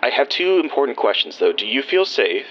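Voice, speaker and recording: A man playing a reassuring small-town doctor in an audio drama, gently repeating himself. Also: mid-range voice, sing-song, brisk, muffled recording. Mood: soothing, concerned. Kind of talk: naturalistic audio drama acting.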